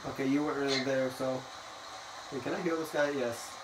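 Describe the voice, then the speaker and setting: A young man rambling through casual gaming chat, bossy and playful in a teasing way. His voice comes from a distance in an echoey room, with clear background noise under it. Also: medium pitch, fairly even intonation, a little fast. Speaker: gaming video host. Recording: echoey room, mic far, noisy.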